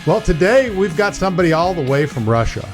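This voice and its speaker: A man's voice: sits high, sing-song, brisk, soft background music. A man in his forties hosting a real estate podcast in an easygoing, chatty way. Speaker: podcast host, mortgage broker. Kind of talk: podcast chat and scripted intro.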